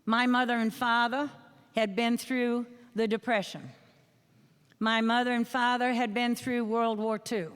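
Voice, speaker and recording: An older woman with a New England accent speaks to a school assembly as a veteran, earnest and emphatic, with measured pauses. Her voice is smooth and clear, and it rings with a long reverb through a large hall. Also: on the high side, flat delivery, a bit bright. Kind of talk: speech. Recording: echoey hall.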